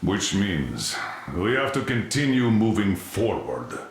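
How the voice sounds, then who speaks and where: A man acting a stern, weary military commander in a video game, gruff, authoritative and sardonic. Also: on the low side, big pitch swings, slow pace, very echoey, a little hiss or hum, heavily acted. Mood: weary, commanding.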